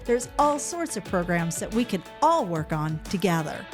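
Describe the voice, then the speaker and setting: A woman's voice: fairly low pitch, sing-song, normal speed, music in the background. A woman hosting a podcast interview, chatting in an effusive, enthusiastic way. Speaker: podcast host. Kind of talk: casual interview chat.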